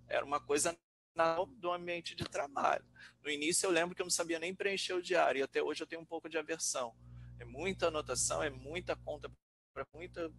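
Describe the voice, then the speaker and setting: A Brazilian man, a researcher and teacher, talking informally and reflectively in a webinar, halting as he thinks aloud. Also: fairly high pitch, gravelly, a bit bright. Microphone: online webinar call. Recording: noisy webinar audio with hum.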